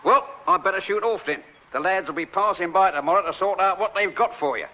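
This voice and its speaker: Sharp, fast-talking London scrap man. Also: high voice, lo-fi sound. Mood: reassuring. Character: awkward, kindly rag-and-bone trade neighbour. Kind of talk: British sitcom acting.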